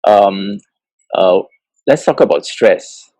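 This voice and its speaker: Male talk-show host, low and dry voice, stumbles through questions with eager praise. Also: mellow, dry recording. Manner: halting, lots of "uh", enthusiastic affirmations. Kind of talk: interview hosting.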